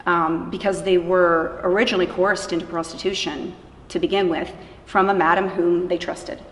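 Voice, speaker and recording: A woman's voice: fairly low pitch, little pitch movement, normal speed. A woman giving earnest, conversational answers as an interviewee about her work. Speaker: interviewee, missionary running an anti-trafficking organization. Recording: echoey reverberant room, faint noise.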